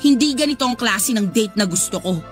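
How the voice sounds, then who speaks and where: A woman's voice: a little high, lively intonation, brisk, faint music behind, close to an everyday voice. A woman playing an indignant young woman betrayed by her friend, reproachful, in a Filipino radio drama. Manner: wounded, reproachful.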